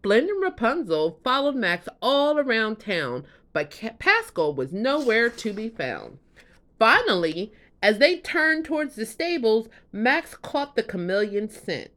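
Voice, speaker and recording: A woman narrates a children's bedtime story. Her voice sits fairly high and moves with lots of animated intonation, in an expressive storytelling style. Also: a little slow.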